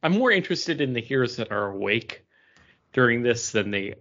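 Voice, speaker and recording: A man's voice: medium pitch, sing-song, normal speed, a bit bright. A man co-hosting a podcast, talking in a casual, conversational way. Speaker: comics podcast co-host. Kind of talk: casual discussion. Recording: dull lo-fi audio.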